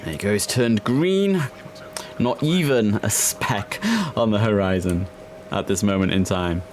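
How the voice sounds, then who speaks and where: A young man talks loosely and warmly through his gameplay, his voice sitting fairly low. He drifts along with a chatty, easygoing flow and plenty of filler sounds, over a faint hiss of background noise. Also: a little slow. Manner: chatty, relaxed, with frequent fillers. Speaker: gaming streamer. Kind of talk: casual gameplay commentary.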